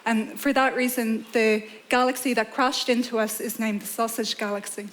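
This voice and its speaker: A woman explains science in plain terms as a guest on a theatre stage. Her voice is a touch high and stays very even, with little rise and fall. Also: normal speed. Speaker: astronomer, guest speaker. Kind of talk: explaining science to a lay audience. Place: theatre stage before a live audience.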